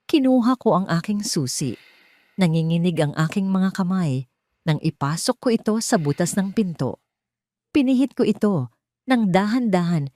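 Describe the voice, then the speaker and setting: A woman telling a first-person drama story in a hushed, tense, suspenseful way. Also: medium pitch. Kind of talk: first-person scripted narration.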